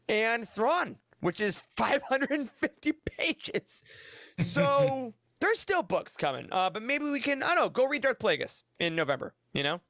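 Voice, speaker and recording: Male podcast host, high voice, chatty upbeat delivery, dry close sound, casual news chat. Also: a bit bright, no room sound.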